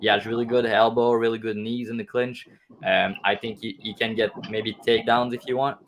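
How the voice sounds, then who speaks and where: Man, low voice. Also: little pitch movement, fairly quick, fairly bright tone, slight background noise. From Quebec, Canada. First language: French. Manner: explains picks with frequent restarts. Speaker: guest panelist.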